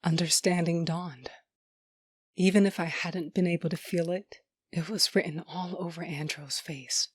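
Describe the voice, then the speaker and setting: A woman's voice: fairly low pitch, fairly even intonation, unhurried, breathy edge, no room sound. A woman playing a tough, wounded, sardonic smuggler who tells her own story in an audio drama, intimate and wry. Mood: wry, tender narration. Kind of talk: first-person audio drama narration with dialogue.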